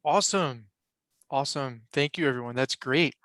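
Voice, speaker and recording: A man's voice: mid-range voice, moderate pace, fairly bright tone, a little hiss or hum. A man moderating a panel over a video call, talking loosely with many fillers and restarts. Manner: loose, filler-heavy, apologetic.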